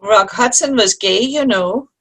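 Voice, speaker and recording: A woman's voice: fairly high pitch, unhurried, a bit bright, breathy edge, exaggerated voice. A woman playing a cheerful, teasing grandmother among old friends in an amateur comedy play reading. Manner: good-humored, teasing. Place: online video-call play reading.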